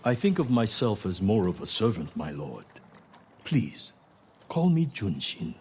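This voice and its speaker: A man's voice: on the low side, very animated, a little slow, a little mellow, slight background noise. A man playing a humble, kindly temple monk in a video game, speaking modestly and courteously. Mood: humble, helpful. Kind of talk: cinematic video game acting.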